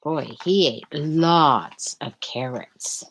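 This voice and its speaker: A middle-aged woman hosting a live picture-book read-aloud, chatting casually and haltingly with young listeners and putting gentle questions to them. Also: deep voice, creaky, slight background noise, poor audio.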